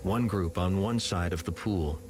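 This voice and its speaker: A man narrating scripture from a script in a measured, solemn manner. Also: low voice, noisy background. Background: music.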